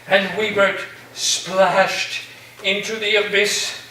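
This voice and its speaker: A man's voice: high-pitched, level tone, slow pace. A man playing an eccentric, grandiose college professor and dreamy storyteller in a theatrical staged reading, with a dramatic delivery. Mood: dreamy, solemn. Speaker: actor in a staged play reading. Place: echoey room at a live staged reading. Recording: roomy, distant mic, faint noise.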